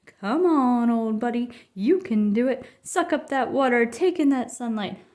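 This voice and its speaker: A woman voicing a boastful, stubborn father bear in a children's storybook, emphatic and excitable. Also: a little high, unhurried. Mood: coaxing. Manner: emphatic, cocksure.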